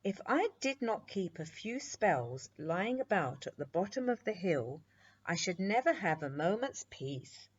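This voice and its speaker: A woman voicing a merry, kind, ageless witch from a children's storybook, gently amused, on a muffled recording. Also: mid-range voice, sing-song, a little slow, husky. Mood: chuckling.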